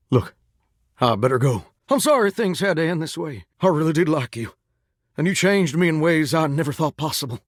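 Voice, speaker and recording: This is a flirty, melodramatic shower come to life for a video game. The voice sits high and leaps up and down with theatrical feeling. It has a folksy Southern American accent and an effusive, would-be star's charm, captured close and dry. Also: male voice, no room sound. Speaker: dateable character in a video game. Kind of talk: animated video game voice acting.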